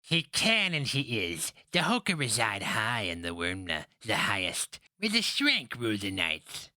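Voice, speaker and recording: Man putting on a husky, scornful old woman. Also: on the low side, huge pitch swings, unhurried, sharp tone, no echo, studio quality, exaggerated voice. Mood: lecturing, scornful. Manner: scolding, rueful, teasing. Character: old woman, canny, mocking, scornful matriarch.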